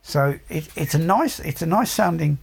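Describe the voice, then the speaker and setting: Male podcast co-host, gravelly and husky, casual and halting. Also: on the high side. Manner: relaxed, hesitant with many ums. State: voice getting croaky. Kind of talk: casual chat about audio gear.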